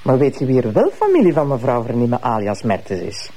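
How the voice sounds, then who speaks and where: Bossy Flemish wife, mellow but animated. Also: female voice, extremely low pitch, fairly quick, exaggerated voice. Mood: brisk, explaining. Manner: blunt, teasing, commanding. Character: sharp-tongued, bossy wife of a police inspector, a prosecutor. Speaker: actress in a TV crime series. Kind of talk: naturalistic TV drama acting.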